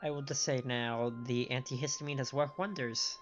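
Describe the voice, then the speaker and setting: A man's voice: mid-range voice, fairly even intonation, moderate pace. A man giving casual live commentary as he streams a game. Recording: dull band-limited stream audio, music behind.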